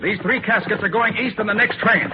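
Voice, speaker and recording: An actor playing a confident, sharp-witted master detective in an old radio drama, explaining things in a brisk, assured way. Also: male voice, high-pitched, natural voice. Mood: urgent, recounting. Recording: muffled, hissy old radio recording.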